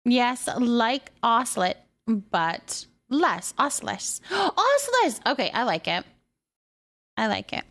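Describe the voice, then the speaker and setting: Female livestreamer, Canadian accent, higher-pitched, unhurried delivery, effusive and affectionate in casual chat with viewers. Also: big pitch swings, a bit bright. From British Columbia, Canada. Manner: effusive, affectionate, repeating thanks and words for emphasis.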